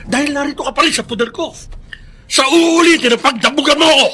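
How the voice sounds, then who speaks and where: A man's voice: extremely high pitch, fast, pushed and bright, slight background noise. An actor playing a father, by turns harsh or remorseful, in a Filipino radio drama. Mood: furious, bullying. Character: harsh abusive father in flashback, frail repentant old man later.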